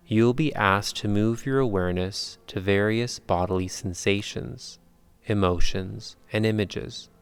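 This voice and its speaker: A man leading a guided yoga nidra meditation in calm, measured, unhurried instructions with long pauses. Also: fairly low pitch, clear, resonant tone, no room sound.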